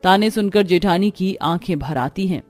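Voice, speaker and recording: A woman's voice: on the low side, moderate pace, darkish tone, soft background music. A woman narrating a scripted cartoon family story.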